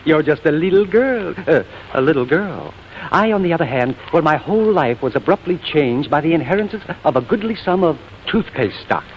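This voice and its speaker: A man's voice: a little high, lively intonation, fairly quick. A man playing a naive, earnest young rich man, bashful and formal in 1940s comedy style. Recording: muffled old soundtrack, faint noise.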